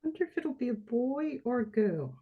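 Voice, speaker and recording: A woman's voice: fairly high pitch, very animated, moderate pace, slightly rough, phone-quality sound. A woman playing a chatty, unbothered receptionist in a play reading, colloquial and matter-of-fact. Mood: chatty, indifferent. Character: chatty, casual, unbothered Australian female receptionist.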